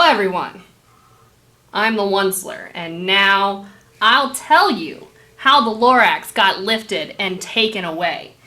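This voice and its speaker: A woman plays an old, boastful recluse telling a rhyming storybook tale for a puppet show. The voice is high and bright, with dramatic, swooping delivery and drawn-out words. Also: heavily acted. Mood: wistful, dramatic. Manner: theatrical, drawn-out, exclamatory. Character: Onceler, old reclusive tree-chopper reminiscing, boastful, dramatic.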